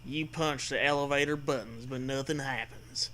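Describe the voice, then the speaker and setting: A man reading a comedy story aloud in an animated way, acting out the dialogue and bantering with casual swearing. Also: mid-range voice, moderate pace, a little hiss or hum, low-quality recording.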